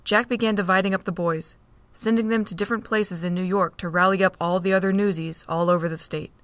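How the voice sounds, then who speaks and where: A woman's voice: on the low side, steady tone, brisk, a little hiss or hum. A woman narrating a fan-made audio drama, reading steadily.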